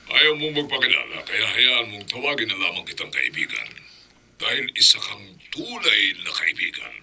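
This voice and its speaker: An actor with a Filipino accent playing a fierce monster-hunting village captain in a horror radio drama. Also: male voice, lowish voice, big pitch swings, moderate pace, vocal fry, husky, heavily acted. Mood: conspiratorial, grateful. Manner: forceful, commanding, dramatic.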